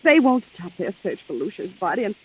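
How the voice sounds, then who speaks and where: A woman's voice: mid-range voice, big pitch swings, a little fast, a little mellow, husky. An actress playing a frightened, anxious young woman in a melodramatic 1940s radio mystery. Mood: anxious, urgent. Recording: old muffled 1940s radio recording.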